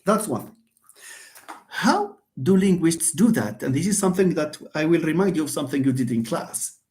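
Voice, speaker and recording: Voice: mid-range voice, lively intonation, moderate pace, a little mellow. A university lecturer giving a live online lecture, emphatic, repeating phrases and speaking straight to students.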